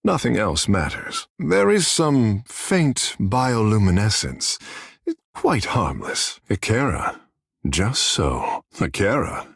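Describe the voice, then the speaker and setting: Low, gravelly, vain fish-man demigod. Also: very animated, slow pace, no echo, clean studio sound, full character voice. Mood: flirtatious, boastful. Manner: theatrical, boastful, languid storyteller. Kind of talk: fantasy video game character dialogue.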